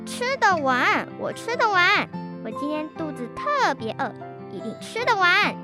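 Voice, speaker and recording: A woman's voice: very high-pitched, very animated, measured pace, over music, exaggerated voice. A schoolgirl acting a whiny, indignant young sister in a children's radio drama. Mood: insistent. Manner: whiny, protesting.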